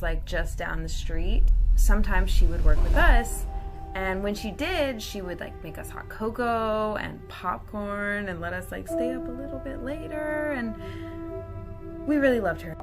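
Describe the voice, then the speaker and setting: A woman hosts a personal story time with clearly audible music behind her. Her pitch is middling for a woman. She tells it with theatrical, playful flair and slips into informal, conversational asides. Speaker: storyteller host.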